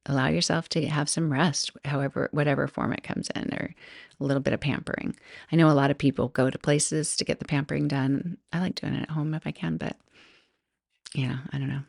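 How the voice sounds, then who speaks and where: A woman's voice: low-pitched, steady tone, brisk, creaky, darkish tone, dry recording, studio quality. A middle-aged woman hosting a solo podcast, chatting casually and rambling, often laughing and interrupting herself. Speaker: podcast host.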